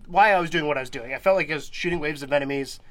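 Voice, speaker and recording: A man's voice: fairly high pitch, racing, crowd noise. A young man hosting a casual gaming podcast, a bit nasal from a cold, rambling and laughing often. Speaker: podcast host.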